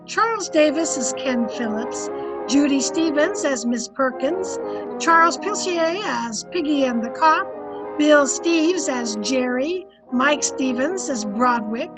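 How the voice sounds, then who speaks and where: A woman's voice: high voice, poor audio. A woman host reading scripted closing credits in the style of an old-time radio announcer. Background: music.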